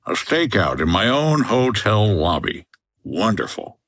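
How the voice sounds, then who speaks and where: Casting: actor as hardboiled 1950s American man, low pitch, gruff, wry hotel owner for a radio mystery. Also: very animated, measured pace, fairly bright tone, no echo, full character voice. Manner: dry, hardboiled. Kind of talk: old-time radio detective acting.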